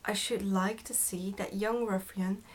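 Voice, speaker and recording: A woman's voice: medium pitch, fairly even intonation, measured pace, breathy edge. A woman voicing a sly goblin father from a storybook, bossy and teasing with his family. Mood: scheming.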